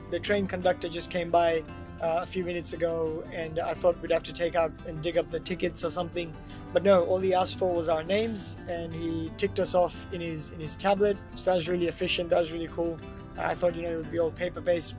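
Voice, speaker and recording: A man talking to camera in an upbeat, chatty travel vlog from inside a train cabin. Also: high-pitched. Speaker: travel vlogger. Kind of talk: casual vlog talk to camera. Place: first-class train cabin, indoors.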